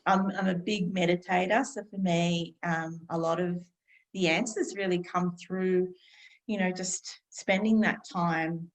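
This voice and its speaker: Casting: woman, 50s, interview guest, reflective and slow, lots of pauses. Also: fairly low pitch, poor audio. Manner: calm, unhurried, many pauses and fillers.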